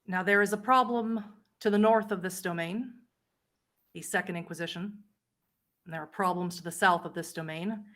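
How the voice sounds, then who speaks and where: A woman's voice: lowish voice, a bit bright, natural voice. Woman playing a haughty, cool vampire prince. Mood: imperious, measured. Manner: formal, condescending, measured.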